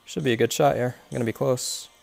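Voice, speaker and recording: A congested man with a gravelly, fry-laden voice talks through his golf game shot by shot, pausing on fillers as he reasons aloud. His pitch sits in the middle of a man's range, and faint music runs behind him. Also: fairly even intonation, a little mellow. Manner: explains his shots step by step, with many fillers. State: congested, coughing. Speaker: game streamer. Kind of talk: live gameplay commentary.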